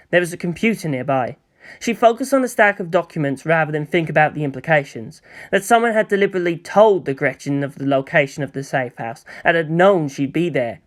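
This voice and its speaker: A reader narrating a fan fiction story in a measured, even storytelling manner. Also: mid-range voice. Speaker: fanfic reader.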